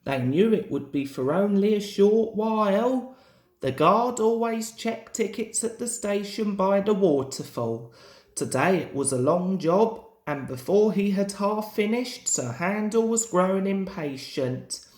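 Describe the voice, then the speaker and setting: A man's voice: sits high, animated, a little slow, darkish tone. A young man reading a children's story aloud as a narrator. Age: young adult. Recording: roomy, echoing home recording.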